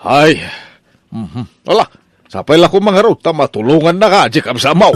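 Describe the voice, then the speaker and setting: A man's voice: fairly high pitch, big pitch swings, normal speed, soft background music. A man with an Ilocano accent playing an exasperated, scolding old father of grown sons in a comedy radio drama. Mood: concerned.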